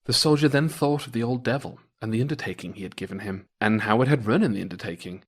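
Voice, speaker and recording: A man narrating a folktale as storyteller in a dramatic, theatrical and expressive manner. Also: medium pitch, fast talker, slightly rough. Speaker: storyteller, podcast host.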